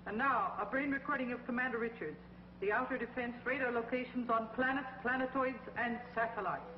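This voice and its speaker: A woman's voice: fairly high pitch, fairly even intonation, brisk, very noisy, close to an everyday voice. Siderine, a woman playing a cold alien tyrant. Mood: gloating. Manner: commanding, gloating. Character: power-hungry female alien ruler, cold and domineering. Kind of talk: 1950s TV sci-fi acting. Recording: dull old 1950s TV soundtrack, loud music behind.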